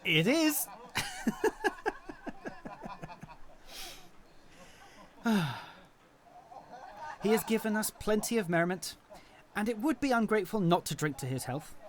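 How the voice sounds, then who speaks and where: An actor playing a cheerful, good-natured young man who laughs easily. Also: high-pitched. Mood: merry. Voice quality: warm, laughing, jovial.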